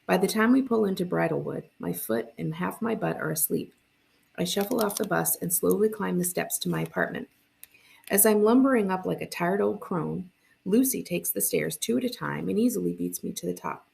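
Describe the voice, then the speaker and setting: A woman narrates a first-person storybook aloud. Her pitch is on the low side, with very heavy creak. The reading is expressive, in a librarian's read-aloud style. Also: moderate pace, rough recording.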